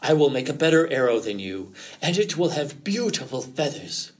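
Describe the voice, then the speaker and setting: A man's voice: fairly high pitch, big pitch swings, normal speed. A man voicing a proud, stubborn male rabbit chief in an animal folktale, insistent and demanding. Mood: boastful. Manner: defiant, insistent, boastful.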